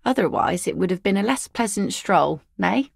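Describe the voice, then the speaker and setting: A woman voicing an easygoing, philosophical seafaring mother in a video game, with a wry, gently teasing, folksy manner. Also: medium pitch, animated, normal speed, gravelly, slightly rough, no echo, studio quality, exaggerated voice. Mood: relaxed, amused. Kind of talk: naturalistic video game dialogue acting.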